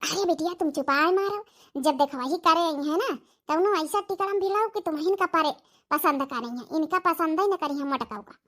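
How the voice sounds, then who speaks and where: A woman's voice: high-pitched, moderate pace, a bit bright. A woman with an Awadhi accent voicing a nagging, two-faced middle-aged village stepmother in a comic cartoon. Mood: scheming. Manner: nagging, sarcastic.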